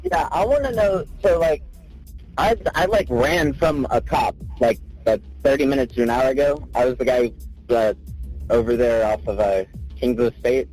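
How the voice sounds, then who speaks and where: Casting: male, 19, husky, indignant emergency-line caller, halting casual delivery, recorded call with music under it. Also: medium pitch, darkish tone, low-quality recording. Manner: halting, repetitive filler "like", indignant. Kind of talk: rambling, casual phone complaint.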